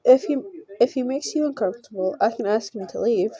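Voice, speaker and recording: A woman voicing an uneasy, protective young female shop worker in a visual novel, halting and questioning. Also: a little high, warm tone, lightly acted.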